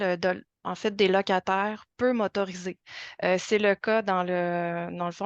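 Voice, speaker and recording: Female project representative, explanatory and calm, dry close sound. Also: mid-range voice, dry recording. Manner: matter-of-fact, explanatory. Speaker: housing organization representative. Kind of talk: answering at a public meeting.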